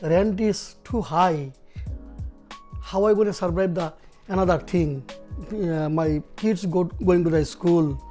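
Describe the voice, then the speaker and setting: A middle-aged man with a Bangladeshi accent, a taxi driver speaking in a documentary interview, halting with many fillers and simple phrasing. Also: high-pitched, mellow, faint music behind. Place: apartment living room.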